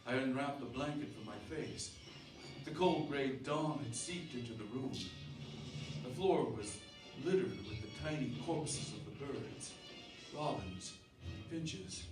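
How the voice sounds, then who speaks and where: A man's voice: mid-range voice, expressive, slow pace. A man playing a shaken, protective husband and father in a dramatic, emphatic radio play. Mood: grim, drained. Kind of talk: radio drama acting with first-person dramatic narration. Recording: roomy, distant stage sound with music.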